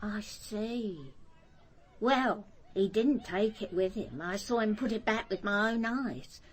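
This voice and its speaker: An actress playing a prickly, gossipy working-class London housekeeper in a radio drama, plain-spoken and quick to take offence. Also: female voice, medium pitch, animated. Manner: indignant, chatty, plain-spoken.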